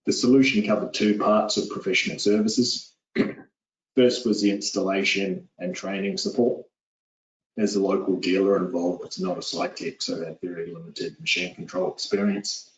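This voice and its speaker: A man in his forties with a New Zealand accent, giving a steady, businesslike webinar presentation with frequent "uh"s over a call. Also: low-pitched, normal speed, slight background noise. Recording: echoey, distant, dull lo-fi audio.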